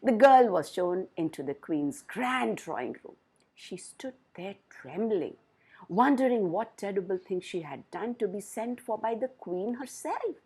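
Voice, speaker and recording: A woman narrates a children's fairytale at an unhurried pace, with a very lively rise and fall in pitch. Her voice has a slightly husky grain, and she tells it expressively. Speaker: storyteller.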